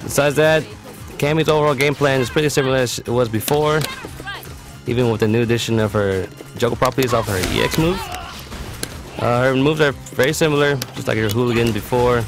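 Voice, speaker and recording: A man's voice: medium pitch, a little fast. A man giving explanatory video game tutorial commentary in a casual, matter-of-fact way. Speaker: competitive gamer presenting a character guide. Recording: voice-over with music behind. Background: game music.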